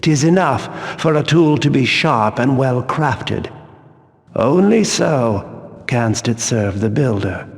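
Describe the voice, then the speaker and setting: An actor playing a stern, pious zealot guard in a fantasy video game, speaking in solemn, archaic, preachy diction. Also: male voice, mid-range voice, lively intonation, slow pace. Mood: stern, preaching. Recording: long hall-like reverb.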